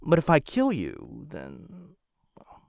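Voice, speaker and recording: A man playing a smitten, dangerous assassin of a noble house in an intimate boyfriend roleplay, teasing and coaxing with theatrical pauses. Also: a little high, huge pitch swings, vocal fry, a little mellow, dry recording, studio quality, full character voice. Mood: reluctant, smitten.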